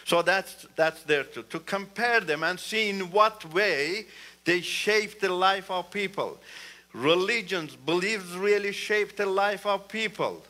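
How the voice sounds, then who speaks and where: Bright-voiced man. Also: high-pitched, takes it slowly. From Iran. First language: Turkish. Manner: earnest, repeats "you know", rises emphatically. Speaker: guest speaker. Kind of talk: testimony talk in church.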